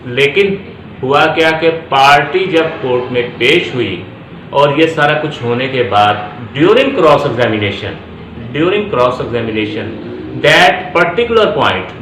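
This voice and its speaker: A man with a Pakistani accent giving an explanatory law lecture, deliberately repeating phrases for emphasis and switching into English terms. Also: on the high side, a bit bright. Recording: echoey room with faint background noise.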